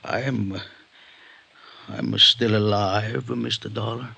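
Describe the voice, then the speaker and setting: An actor playing a small, wizened old immigrant father in a 1950s radio drama, husky, creaky, broken and reticent. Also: lowish voice, fairly even intonation, slow, background noise, exaggerated voice. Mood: broken, halting. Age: older. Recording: dull, muffled old radio recording.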